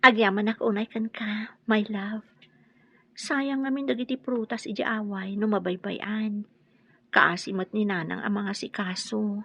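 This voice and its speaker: A woman playing a sweet, dutiful young wife in an Ilocano radio drama, with a husky voice. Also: mid-range voice, fairly even intonation, unhurried, bright, forceful tone, no echo. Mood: grateful. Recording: dull, lo-fi audio.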